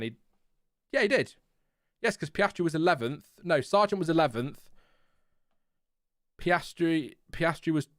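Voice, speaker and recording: A man's voice: medium pitch, clean tone, dry recording, studio quality. A British man hosting a casual race reaction, thinking aloud and rambling with many fillers. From Britain. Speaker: host.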